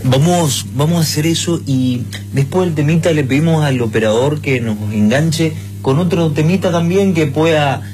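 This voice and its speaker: Reflective radio co-host, halting. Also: male voice, a little high, a little mellow. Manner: reflective, halting, searching for words. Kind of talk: casual radio chat.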